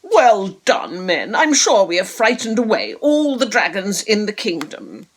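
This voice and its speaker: A woman's voice: medium pitch, very animated, a little slow. A woman voicing a genial, slightly bewildered king in a children's storybook, with a stately, hearty manner. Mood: proud.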